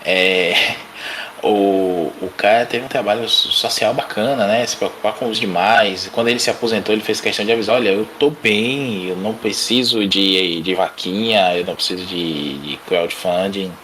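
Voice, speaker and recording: A man's voice: lowish voice, moderate pace, a bit bright, slight background noise. A man with a Brazilian accent chatting casually as a podcast panelist, in informal, slangy language with some swearing. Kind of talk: casual chat.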